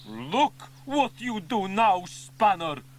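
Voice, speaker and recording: Man doing a slow, grumbling cartoon farmer. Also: high voice, sing-song, voice pushed up, full character voice. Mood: exasperated. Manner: clipped broken grammar, exasperated. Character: grumbling, scolding foreign tractor driver, adult man. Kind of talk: children's cartoon character acting.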